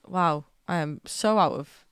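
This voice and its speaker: A young woman streaming from home, chatting in a rambling, excitable way while getting over a cold with a slight cough. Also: fairly low pitch, measured pace, clear, resonant tone. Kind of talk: casual live-stream chat.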